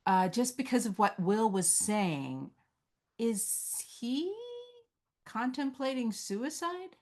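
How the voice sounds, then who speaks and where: A woman's voice: fairly high pitch, animated, slow pace. A woman moderating a script-reading Q&A in a conversational, reflective and encouraging way, passing on audience comments.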